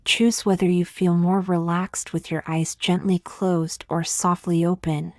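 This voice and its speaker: Woman leading a guided meditation, soft breathy almost whispery voice, slow n soothing, very dry close sound. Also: lowish voice, little pitch movement, dry recording. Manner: slow, gentle and soothing, with long pauses. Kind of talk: scripted guided meditation narration.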